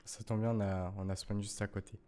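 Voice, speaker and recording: A man's voice: lowish voice, level tone, fairly quick, warm tone, clear, resonant tone. Rambling French gaming tutorial guy. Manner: rambling, full of fillers and self-corrections, addresses viewers as "les gars". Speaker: gaming youtuber. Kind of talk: casual gameplay tutorial.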